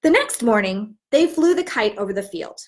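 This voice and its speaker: A woman with a slightly high voice gives an instructional read-aloud lesson. Her intonation swings widely and expressively. She phrases things clearly, like a teacher showing others how to present a storybook. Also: sing-song, moderate pace. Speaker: teacher trainer.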